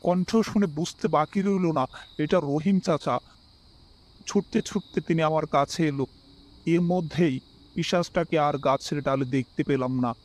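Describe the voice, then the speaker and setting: A man's voice: a little high, a little slow, a little mellow. A man reading a scripted first-person horror story as its narrator. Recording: music behind the voice.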